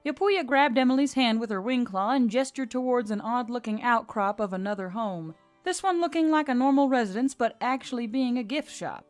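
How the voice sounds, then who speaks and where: A woman's voice: a little high, expressive, a little fast, hardly any pauses. A woman reading scripted fantasy fiction aloud as the narrator. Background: faint music.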